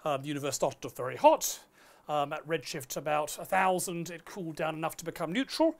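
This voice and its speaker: A man's voice: fairly high pitch, brisk, gravelly. Middle-aged male lecturer explaining step by step. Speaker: astronomy lecturer. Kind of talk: conversational science lecture in a two-person dialogue.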